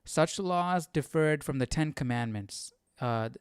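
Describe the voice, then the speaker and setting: A man's voice: a little high, expressive, measured pace, clear, resonant tone, no echo, studio quality. A man hosting a Bible study livestream, reading aloud and commenting casually, often questioning and checking for agreement.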